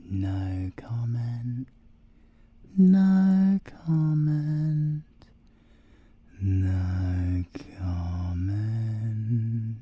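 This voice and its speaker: A man's voice: mid-range voice, mellow, husky, full character voice. A British man voicing an arrogant, flirtatious male siren thief in an ASMR audio roleplay, teasing and theatrical, sometimes dropping to a whisper. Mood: smug, possessive.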